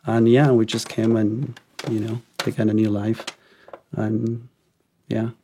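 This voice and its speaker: Low gravelly man from Ecuador. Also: slow, a little hiss or hum. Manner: relaxed, hesitant with many ums and restarts. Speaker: podcast guest. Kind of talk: casual interview conversation.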